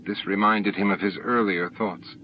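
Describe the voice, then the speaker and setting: A man's voice: lowish voice, steady tone, moderate pace. A man narrating a novel as an audiobook reader, reading from a script. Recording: dull, faintly noisy recording.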